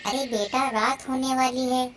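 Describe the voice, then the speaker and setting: Performer as elderly female cartoon pigeon, comforting, kind, high voice, birdsong noise. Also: steady tone, a little slow, far from the mic. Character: kindly old mother pigeon, comforting and generous. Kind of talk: Hindi moral-story cartoon dialogue.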